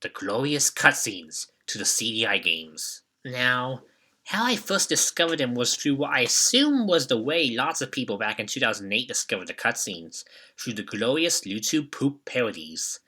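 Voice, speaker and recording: A man's voice: mid-range voice, very animated, moderate pace, pushed and bright. A young man hosting a video, reading a scripted retrospective narration. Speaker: video host.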